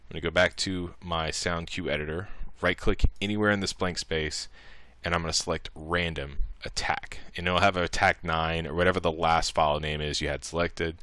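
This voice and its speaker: A man narrating a software tutorial casually, thinking aloud informally as he works. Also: deep voice, steady tone, fairly quick, no room sound.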